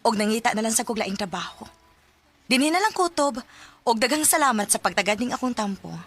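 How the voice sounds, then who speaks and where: A woman's voice: fairly high pitch, expressive, brisk. A woman acting a tender, sincere young woman who carries hurt, in a radio drama. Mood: heartbroken, resigned.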